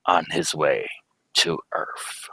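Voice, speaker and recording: A man's voice: extremely low pitch, lively intonation, takes it slowly, gravelly, sharp tone, slightly rough, no echo, voice pushed down, exaggerated voice. A man playing a grave, commanding scientist father facing doom in an old-time radio drama, solemn and urgent.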